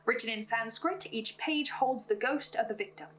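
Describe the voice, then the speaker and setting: A woman's voice: a little high, moderate pace, fairly bright tone. A woman narrating a scripted recap as the host of an analysis video, with an earnest, investigative manner. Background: music bed.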